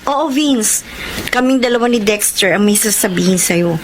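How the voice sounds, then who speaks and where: A woman's voice: mid-range voice, animated, moderate pace, background noise, lightly acted. A woman acting a firm, urgent young coworker in a Filipino radio drama. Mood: serious.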